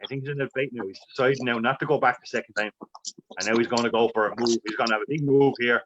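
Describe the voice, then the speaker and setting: A man's voice: mid-range voice, fairly even intonation, rapid-fire, a bit bright, highs cut off. A man giving live expert commentary on a fly-fishing match, rambling with many fillers and restarts.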